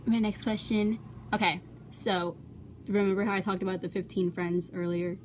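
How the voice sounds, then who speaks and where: A woman's voice: medium pitch, fairly even intonation, moderate pace, slight background noise. A young adult woman asking questions in a casual, informal chat. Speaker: client asking questions, vlogger.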